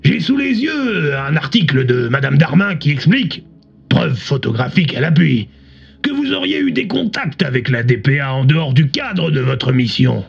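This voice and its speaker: A man playing a smug, theatrical male radio debate host in an audio drama, pompous and emphatic, with a husky, gravelly voice through a radio filter. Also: a little high, sing-song, moderate pace, full character voice. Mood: accusing. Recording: muffled radio broadcast sound, music behind.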